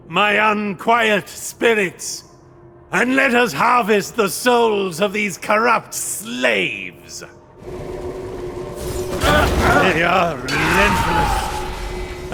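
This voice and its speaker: An actor playing a grim, imperious undead knight warlord in a video game cutscene, theatrical, commanding and menacing. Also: male voice, high-pitched, very animated, very slow, gravelly, noisy background, exaggerated voice. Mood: imperious, rallying.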